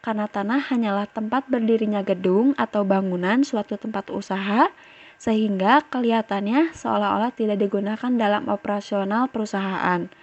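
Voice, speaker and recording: A woman's voice: medium pitch, normal speed. A young woman delivering a scripted explanatory presentation as a student. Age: young adult. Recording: dull, lo-fi microphone sound.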